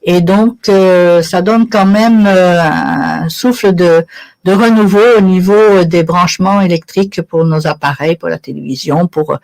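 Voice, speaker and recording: A woman's voice: fairly low pitch, a little slow, a little mellow. Woman of Italian origin explaining in interview. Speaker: interview guest, charity sponsorship volunteer. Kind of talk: conversational interview answers.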